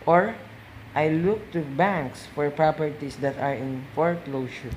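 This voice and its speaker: Male amateur as calm, lecturing investor in radio play interview, repeats points for emphasis, faint noise behind. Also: fairly high pitch, measured pace, lightly acted, poor audio. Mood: firm, explaining. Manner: didactic, repeats phrases for emphasis. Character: calm, didactic male real estate investor being interviewed.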